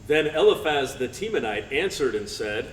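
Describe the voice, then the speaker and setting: A man's voice: mid-range voice, sing-song, moderate pace. A man narrating a scripted Bible drama, recorded from a distance. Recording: distant from microphone, faint noise.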